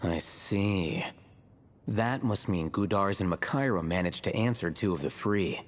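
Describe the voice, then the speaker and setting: A calm, reserved companion speaks slowly in a low, thoughtful voice during a video game scene. His delivery stays measured and even. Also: soft background music.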